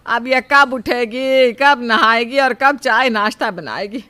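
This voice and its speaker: A woman's voice: medium pitch, lively intonation, measured pace, fairly bright tone, no room sound. A woman playing a complaining, scheming elderly Indian mother-in-law in a cartoon story, with dramatic delivery. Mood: exasperated. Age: older. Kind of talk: acted cartoon story dialogue.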